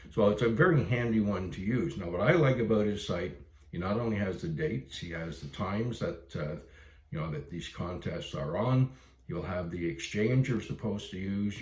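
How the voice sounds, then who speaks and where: Low-pitched Canadian man in a roomy space. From Ontario, Canada. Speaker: amateur radio video presenter. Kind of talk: casual explainer for new hobbyists.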